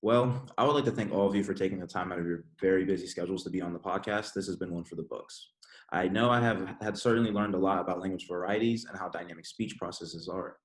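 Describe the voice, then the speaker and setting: A man's voice: deep voice, fast talker, reverberant. A man hosting a scripted panel podcast, reading formally from a script. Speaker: podcast host.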